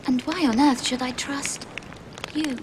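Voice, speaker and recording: Game role: bold teen girl explorer. High voice, suspicious and curt, heavy ambient noise. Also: steady tone, a little slow. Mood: defiant, suspicious. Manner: curt, defiant. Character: bold, wary teenage girl adventurer. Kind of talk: video game voice acting.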